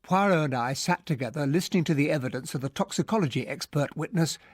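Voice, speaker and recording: Actor as a husky, well-spoken English gentleman narrator. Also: fairly high pitch, quick pace, dry recording, clean studio sound, close to an everyday voice. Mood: reflective, intrigued. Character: genteel English gentleman narrator, observant friend of a detective. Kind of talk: radio drama narration and dialogue.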